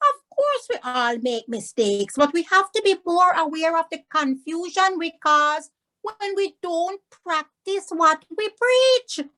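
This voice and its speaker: A high, swooping voice for a stern, wise old grandmother, played by a woman. She questions and instructs, in the manner of a storybook read-aloud. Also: very animated, slow pace, sharp tone, full character voice, low-quality recording. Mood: earnest, instructive. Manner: questioning, moralizing.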